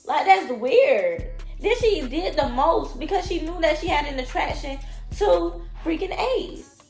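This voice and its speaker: A woman who is a little sick and sounds raspy, giving blunt, emphatic opinions about a reality show straight to her viewers. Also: high-pitched, animated, a little slow. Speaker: reality TV commentator. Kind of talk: opinionated vlog commentary. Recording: echoey room with loud music.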